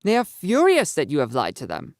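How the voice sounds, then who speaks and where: A narrator voicing a stern, impatient, angry mother who scolds teenagers in a brisk, commanding way for an audio story. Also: mid-range voice, wildly swooping pitch, dry recording, clean studio sound. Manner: brisk, commanding, sharp questioning.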